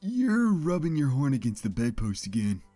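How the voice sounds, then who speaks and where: A man's voice: medium pitch, very animated, mellow, soft background music. A man voicing a hesitant, affectionate stallion pony husband in a cartoon fan-fiction story. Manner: hesitant, halting. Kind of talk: dramatized fan fiction reading.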